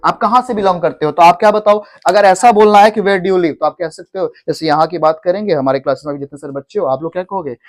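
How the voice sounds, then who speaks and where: A man teaching English in to a class, repeating each phrase slowly for students to echo. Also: high voice. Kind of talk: classroom English lesson in Hindi.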